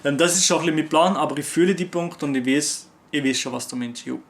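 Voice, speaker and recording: A man's voice: on the high side, fast talker, vocal fry, a little hiss or hum. A young man from Switzerland with a Swiss accent, chatting casually with viewers and answering their comments in loose, slangy talk full of fillers. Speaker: YouTuber.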